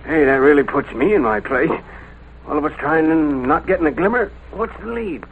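An actor playing a folksy police detective in an old-time radio drama, asking questions in a hesitant, drawn-out way. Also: male voice, fairly high pitch, lively intonation, breathy edge, phone-quality sound. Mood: astonished. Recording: vintage radio broadcast.

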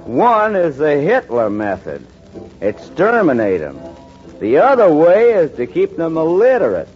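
An actor playing a smug, bigoted small-town lawyer with a sneering drawl, in 1940s radio acting. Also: male voice, a little high, huge pitch swings, unhurried. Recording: dull old radio recording with music.

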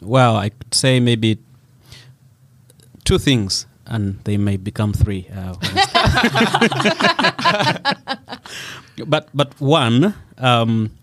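A male guest speaking slowly and deliberately, with light humor. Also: mid-range voice, very animated, fairly bright tone, slight background noise. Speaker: guest, panelist. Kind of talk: casual panel conversation.

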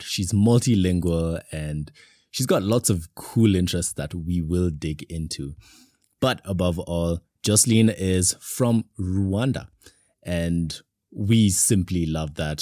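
A man hosts a casual podcast. His voice is very deep and sounds dry and close. He talks in a loose, chatty way and fills gaps with "you know.". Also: a little mellow, no echo. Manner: chatty, with many "you know" fillers and restarts. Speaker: podcast host.

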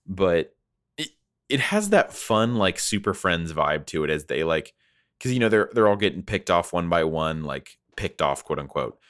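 A man's voice: deep voice, very animated, moderate pace, a bit bright, clear, resonant tone, dry recording, clean studio sound. A man co-hosting a casual, jokey review chat, often acting out imagined lines as he talks. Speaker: podcast co-host.

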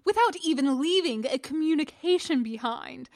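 Lady doing a snooty accusing old master, bit louder than her narration. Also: sits high, animated, normal speed, voice pushed up. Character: haughty older male master, cold and accusing.

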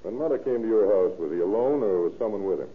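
An actor playing a brisk, authoritative, skeptical American police detective in an old-time radio drama, with a dry manner. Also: male voice, on the low side, lively intonation, lightly acted. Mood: probing. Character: hard-boiled, skeptical male police detective in charge. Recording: tinny old radio recording.

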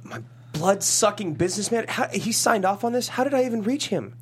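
A man acting a panicky spoiled rock star, swinging pitch. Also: animated, fairly quick, slight background noise. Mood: panicked. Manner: flustered, indignant, stammering. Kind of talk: comedy script table read.

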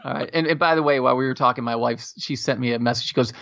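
A man in his fifties chatting casually on a podcast, rambling and halting with lots of fillers. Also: mid-range voice, vocal fry, a bit bright. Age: 50s. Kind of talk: casual chat. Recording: dull lo-fi sound.

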